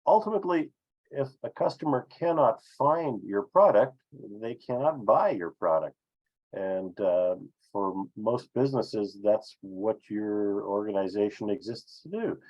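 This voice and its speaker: A man presenting an explanatory business webinar with slides, speaking haltingly with frequent filled pauses. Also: lowish voice. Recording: dull, lo-fi webinar audio.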